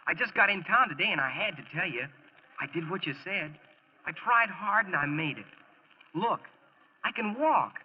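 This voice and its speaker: A man's voice: high-pitched, animated, moderate pace. An actor playing an eager, grateful boy of about eighteen, earnest, in 1940s radio style. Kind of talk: 1940s radio drama acting. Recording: dull, noisy old radio recording.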